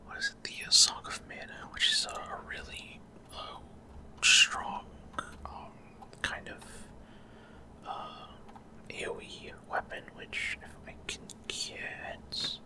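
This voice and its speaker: Whispered, hesitant ASMR gameplay commentary. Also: slow. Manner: hesitant, unscripted explaining. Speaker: video game streamer.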